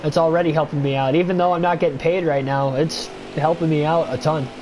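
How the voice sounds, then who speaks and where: A young man with a stuffy nose talks loosely to his livestream viewers, filling pauses with "uh" and "like" as he goes. His voice sits a little high for a man. A steady hum of noise runs under the home recording. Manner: rambling, thinking aloud with many fillers. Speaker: gaming livestreamer. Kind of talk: casual livestream chat and race commentary.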